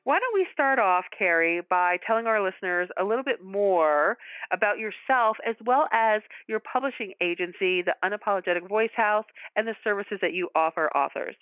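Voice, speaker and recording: A woman's voice: mid-range voice, expressive, moderate pace, no echo, highs cut off. A woman hosting a podcast interview, asking long, friendly, rambling questions. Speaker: podcast host.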